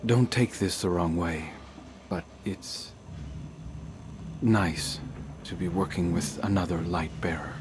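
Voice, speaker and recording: Deep, slow, brooding game hunter. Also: male voice, background noise. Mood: earnest, subdued. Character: brooding, earnest male hunter with lost memory. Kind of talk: video game cutscene acting.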